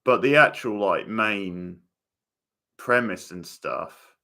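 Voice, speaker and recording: A man chatting casually in live commentary on a TV show, halting and trailing off mid-thought. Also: on the low side, expressive, bright, forceful tone. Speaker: watchalong host commenting on a TV show.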